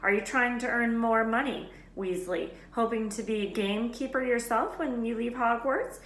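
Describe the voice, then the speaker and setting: A cold, snobbish schoolboy acted by a woman reader, drawling his taunts with haughty scorn. The voice sits higher and has a bright edge in a roomy space. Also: moderate pace. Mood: sneering.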